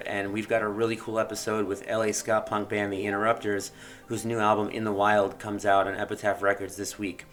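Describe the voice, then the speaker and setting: A man's voice: fairly low pitch, a bit bright. A man reading a scripted intro to his music podcast. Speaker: podcast host.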